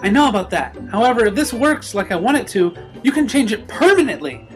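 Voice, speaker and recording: A man voicing an excitable, brainy young female unicorn inventor in a cartoon fan story, earnest and exclaiming. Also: sits high, a little fast, faint music behind, voice pushed up. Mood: confident. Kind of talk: fan fiction story reading.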